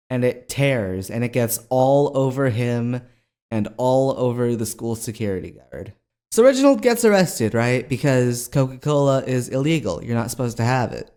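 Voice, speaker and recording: A man's voice: medium pitch, a little mellow. A young adult man with a scratchy voice, casually telling a story as a video host in a slangy, conversational manner full of asides. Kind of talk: casual storytelling to camera audience.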